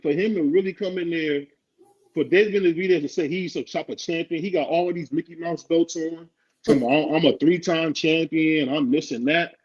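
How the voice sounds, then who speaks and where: A man talks boxing on a podcast in a husky, slightly high voice, joking and needling his co-host. The recording is dull and lo-fi. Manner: joking, argumentative, laughs often. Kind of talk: casual sports talk. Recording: dull lo-fi sound.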